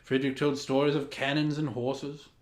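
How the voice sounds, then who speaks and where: A man's voice: mid-range voice, flat delivery, moderate pace. A man reading a children's story in a pompous, grandly formal and theatrical way, with dramatic emphasis. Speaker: storyteller, host of a story time. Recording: roomy, echoing room sound.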